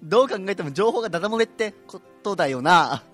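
A man playing a gruff, urgent soldier under attack in an amateur radio drama. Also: high voice, animated, fast, a bit bright, soft background music. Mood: tense.

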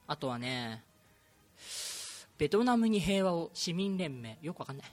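A young man hosting a casual amateur radio chat with friends, talking in a playful, teasing, excitable way. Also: fairly high pitch, moderate pace, soft background music. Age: young adult. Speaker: radio show host.

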